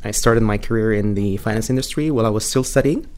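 A young man from Morocco, an MBA student guest who speaks in a measured way with frequent hesitations. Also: on the low side, darkish tone. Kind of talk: casual interview answers.

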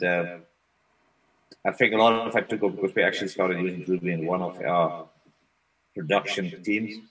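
A man whose first language is Afrikaans, a software consultant talking as a guest on a remote interview in a relaxed, rambling way. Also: deep voice, recorded from a distance, rough recording. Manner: relaxed, rambling with frequent restarts. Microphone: video call.

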